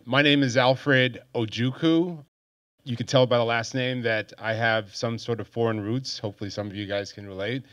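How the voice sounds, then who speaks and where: A man's voice: medium pitch, steady tone, normal speed, a bit bright. A man in his thirties, born in Nigeria and raised in Hawaii, speaking on a panel in a friendly, conversational way into a handheld mic. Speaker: panelist, tech professional. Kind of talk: panel introduction to students. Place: stage at a large event space. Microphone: handheld microphone.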